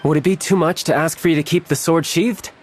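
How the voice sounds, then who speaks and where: An actor playing a suave, playful young envoy in a game cutscene, smooth, teasing and glib. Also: male voice, fairly high pitch, steady tone, a little fast, soft background music, close to an everyday voice. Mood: playful, reassuring.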